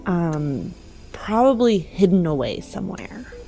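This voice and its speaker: A woman improvising in character and narrating as a player in a tabletop game, earnest and halting. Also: on the low side, very animated, dark tone, soft background music, low-quality recording.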